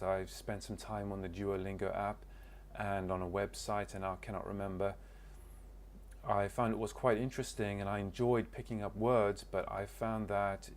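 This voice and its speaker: A man speaks in a fairly low voice, introducing himself to camera. He talks casually and hesitantly, restarting sentences as he searches for words. A faint background hum sits under the recording. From Cornwall, England. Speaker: language learner.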